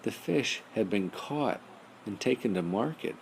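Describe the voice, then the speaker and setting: A man narrating a children's bedtime story in a measured storybook style, as an audiobook reader. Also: lowish voice, animated, vocal fry. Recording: noisy amateur home recording.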